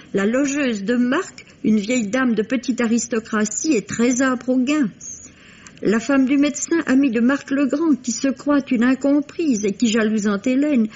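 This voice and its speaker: Female radio reviewer, slightly high husky voice, formal literary narration, dull vintage broadcast sound. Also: a little mellow. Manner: formal, literary, measured storytelling. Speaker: book reviewer on a radio program. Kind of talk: scripted narration, 1960s French radio book review.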